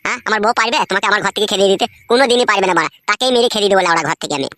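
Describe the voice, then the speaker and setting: A woman's voice: medium pitch, very fast, pushed and bright, no room sound, clean studio sound, lightly acted. A woman voicing a brash young man playing secret lover in a cartoon comedy, boastful and crude, with a rural West Bengal accent.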